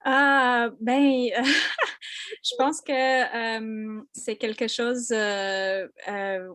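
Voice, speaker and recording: Slow, hesitant woman, dry sound. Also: fairly high pitch, steady tone, a bit bright, clear, resonant tone, dry recording. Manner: hesitant, searching for words. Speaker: artist, panel guest. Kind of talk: personal unscripted talk.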